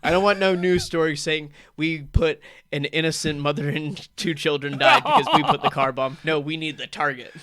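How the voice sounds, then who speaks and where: Bright-voiced man riffing jokes. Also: a little high, expressive, moderate pace, hardly any pauses. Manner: jokey, riffing with playful bits. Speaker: comedian guest. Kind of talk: casual comedy chat. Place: radio studio.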